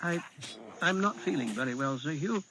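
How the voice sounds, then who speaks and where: A man's voice: a little high, lively intonation, normal speed, background noise. A man voicing Alfred, a timid, clumsy chamberlain who speaks in a hesitant, polite way. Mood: anxious.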